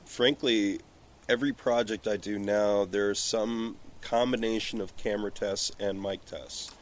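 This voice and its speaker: A man's voice: fairly low pitch, steady tone, a little slow. A man talking candidly and a little ramblingly as a podcast guest on a porch, with casual swearing. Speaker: guest, independent filmmaker and business owner. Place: outdoors on a porch. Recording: background ambience outdoors on a porch.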